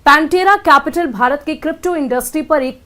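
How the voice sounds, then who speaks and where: Female news presenter, Indian accent, notably high voice, reading scripted bulletin copy. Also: moderate pace, sharp tone.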